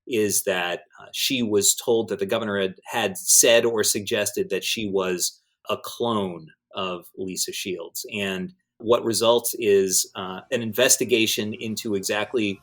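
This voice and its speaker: Male news podcast commentator, lowish register, measured and halting, explanatory. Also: fairly even intonation, a bit bright. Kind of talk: news recap in conversation with a host.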